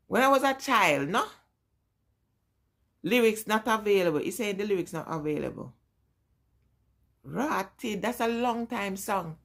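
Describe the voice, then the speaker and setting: A woman's voice: medium pitch, fairly bright tone. A woman in her forties from Jamaica, with a Jamaican accent, chatting casually with live-stream viewers and repeating her points with emphasis. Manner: emphatic and repetitive, talking straight to viewers. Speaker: vlogger.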